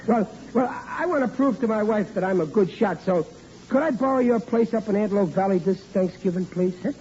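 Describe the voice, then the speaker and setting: Stubborn bumbling radio husband, mellow high voice, emphatic, stammering, 1940s comedy. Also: male voice, animated, a little fast. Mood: friendly, bumbling. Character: blundering, boastful, stubborn working-class sitcom husband and dad. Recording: muffled old radio recording, faint noise.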